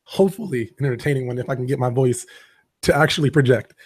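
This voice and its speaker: A man's voice: medium pitch, quick pace, husky, dry recording. A man hosting a podcast who has lost his voice, chatting casually and rambling before the show starts. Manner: relaxed, rambling, coughing. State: hoarse, lost voice. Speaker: podcast host. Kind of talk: casual pre-show chat. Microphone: desk microphone.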